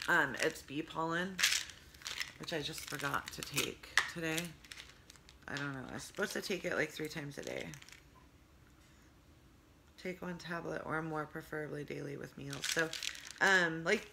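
A woman in her forties with a congested, hoarse voice, rambling through a casual video diary with lots of fillers, recorded on a close phone camera at home. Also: sits low, a little slow, long pauses, noisy background. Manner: rambling, many fillers, talks with her hands. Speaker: vlogger. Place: home room with low sloped ceiling. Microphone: phone camera close up, earbud headset.

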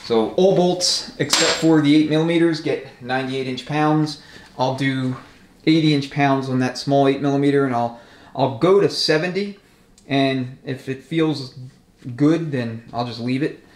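A man's voice: mid-range voice, measured pace. A man explaining a mechanical job step by step in a casual, practical way while he works. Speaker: transmission rebuilder giving a tutorial. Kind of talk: casual hands-on instructional commentary. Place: indoor repair workshop. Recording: echoey workshop, noticeable noise, tool clicks.

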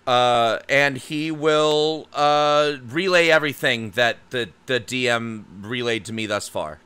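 A man's voice: medium pitch, slow pace, bright, forceful tone, smooth, no room sound. A man playing a tabletop game, hesitant with lots of fillers and restarts. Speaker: player. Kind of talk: tabletop game play, in and out of character.

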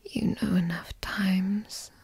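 A woman acts out a tall, dominant girlfriend in an ASMR roleplay, teasing and doting in a soft whisper. Her voice is mellow and fairly high, with very slow, coddling delivery. It sits close to the mic in a dry space. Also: fairly even intonation, slightly rough, no echo. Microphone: close microphone.

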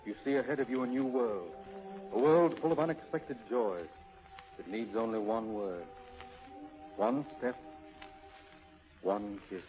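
An actor playing an excitable, courtly businessman in a 1930s radio drama, theatrical and volatile. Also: mid-range voice, a little slow, pauses often, natural voice. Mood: tender, wooing. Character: suave, excitable businessman, exasperated then tenderly romantic. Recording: muffled vintage radio recording, music behind.

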